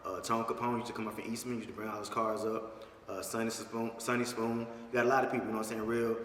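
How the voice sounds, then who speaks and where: Echoey, fast-talking Southern man. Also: on the low side, level tone, a bit bright. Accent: Southern US, Georgia. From Dublin, Georgia, USA. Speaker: interview guest, club promoter and host. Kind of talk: casual conversational interview. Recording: echoey reverberant room, distant mic, faint noise.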